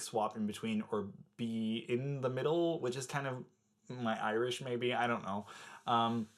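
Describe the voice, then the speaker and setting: A young man from the Netherlands speaking English with an American accent, his first language Dutch, chatting to camera with lots of fillers. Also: medium pitch. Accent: American-sounding English. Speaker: vlogger. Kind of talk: casual talk to camera.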